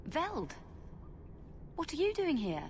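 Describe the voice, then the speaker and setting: An actress playing a feisty, wounded young long-lost daughter in a fantasy video game. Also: female voice, high voice, huge pitch swings, slow pace, long reverb tail, slight background noise, heavily acted. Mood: tense, defiant. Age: young adult. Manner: defiant, earnest, sarcastic.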